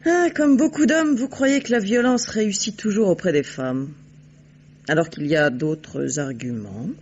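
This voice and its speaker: An actress playing a haughty, shrewd, mercenary society woman in a vintage French radio drama, with poised, disdainful, deliberate delivery. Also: lowish voice, darkish tone. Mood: sly, mocking. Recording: dull, noisy old radio recording.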